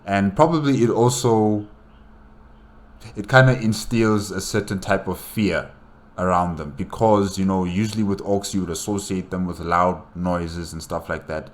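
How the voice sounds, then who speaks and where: A man giving casual, unscripted commentary as a reaction host, haltingly thinking aloud. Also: low voice, fairly even intonation.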